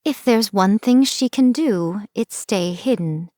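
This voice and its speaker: A woman's voice: medium pitch, animated, slow pace, mellow, no room sound, studio quality. A woman voicing a sly, teasing fox-spirit shrine priestess, speaking in a playful, wry, mocking tone. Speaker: game character. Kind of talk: scripted game voice lines.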